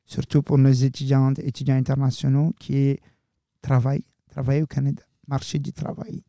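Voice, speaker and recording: A man's voice: mid-range voice, monotone, moderate pace, creaky, warm tone, dry recording, studio quality. A young man hosting a student radio show, talking loosely and casually and slipping in English words. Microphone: studio microphone.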